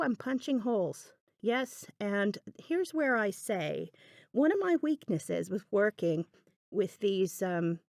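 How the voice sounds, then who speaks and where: A woman's voice: medium pitch, darkish tone, no room sound, clean studio sound. A woman hosting a craft video in a casual, unscripted voiceover, musing aloud in a chatty, self-deprecating way. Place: indoors, voice-over recorded apart from the video.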